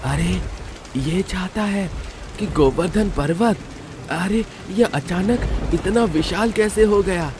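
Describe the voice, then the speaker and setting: A man voicing a poor middle-aged villager in a storybook tale, doubtful and wondering, with drawn-out exclaiming speech. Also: sits high, noisy background, pitched above the performer's own voice. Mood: amazed. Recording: music behind the voice.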